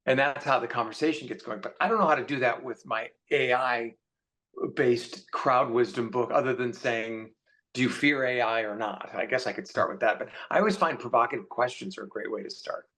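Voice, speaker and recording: A man's voice: medium pitch, brisk, fairly bright tone. Self-deprecating man chatting casually as guest. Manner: self-deprecating, joking, rambling. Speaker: author guest. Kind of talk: casual conversation.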